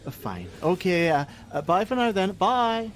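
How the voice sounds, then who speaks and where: A man's voice: high-pitched, very animated, moderate pace, noisy background. A middle-aged man, playing an irritable, evasive father who works on a helpline in a radio play. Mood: awkward, guarded. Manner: curt, sarcastic. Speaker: helpline worker.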